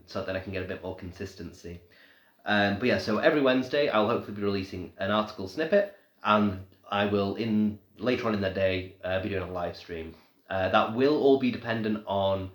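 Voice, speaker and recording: A young man who is still ill talks in a slightly nasal, lowish voice, rambling through a casual live stream update with frequent ums and false starts. The sound is roomy and echoey, as if recorded in a bare room. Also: fairly bright tone. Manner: rambling, many ums and restarts. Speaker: paranormal blogger.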